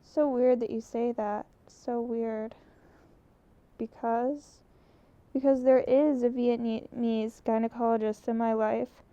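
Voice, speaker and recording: A woman's voice: on the high side, little pitch movement, slow pace, smooth, no echo. A woman voicing a restless, earnest young woman photographer in an audiobook. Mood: musing. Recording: muffled lo-fi recording.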